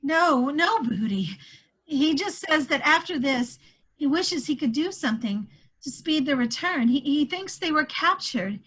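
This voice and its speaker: A woman performs a worried 1940s woman holding on to hope, her voice high. She speaks earnestly and fretfully, as in a stage drama read aloud. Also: expressive, unhurried, fairly bright tone, rough recording. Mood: anxious, insistent. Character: anxious, hopeful wartime woman clinging to news of missing airman. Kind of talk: one-act play table read. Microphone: video call.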